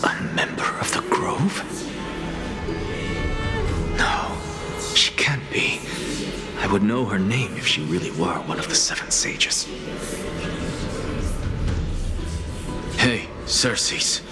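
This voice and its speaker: An actor playing an aloof, curious scholar in a video game, musing dryly with wry self-assurance, music behind him. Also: male voice, on the low side, animated, takes it slowly, long pauses. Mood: puzzled, intrigued. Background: loud game music.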